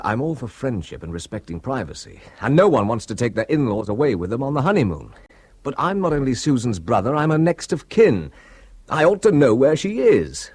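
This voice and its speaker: An actor playing an anxious, politely persistent young British brother in a radio drama, hesitant and wry, with a husky voice. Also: male voice, medium pitch. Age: young adult.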